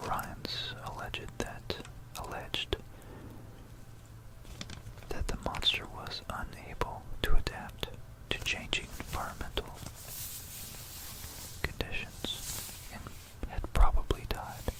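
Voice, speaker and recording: Casting: ASMR presenter, fully whispered, unhurried, musing aloud with asides, close binaural mic. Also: poor audio. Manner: hushed, rambling musing with asides. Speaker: ASMR creator presenting a history topic. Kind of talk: whispered ASMR, reading and commenting on history. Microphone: close stereo binaural microphone.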